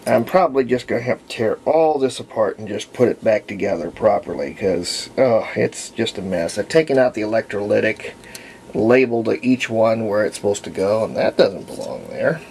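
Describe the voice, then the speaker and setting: A man giving casual hands-on repair commentary as a hobbyist, thinking aloud in a halting way with folksy wording. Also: on the low side, animated, a little mellow. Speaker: hobbyist radio restorer. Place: indoor workbench. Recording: noisy handheld camera audio.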